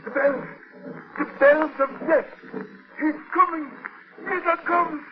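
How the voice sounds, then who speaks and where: An actor playing a solemn, menacing old Haitian witch doctor in 1940s radio horror, with slow incantatory delivery. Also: very high-pitched, fairly even intonation. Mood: solemn, fervent. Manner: slow incantatory chanting, ominous. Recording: dull vintage radio recording.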